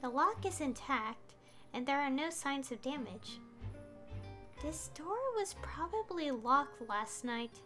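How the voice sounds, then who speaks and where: High-voiced, slow-talking woman game streamer. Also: animated, pushed and bright. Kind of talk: reading game text aloud, musing. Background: game music.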